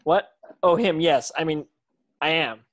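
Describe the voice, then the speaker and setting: Shy wizard schoolboy acted by an adult, polite, hesitant, mid-pitched, table-read drama. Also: measured pace, close to an everyday voice. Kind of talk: online table read of a screenplay.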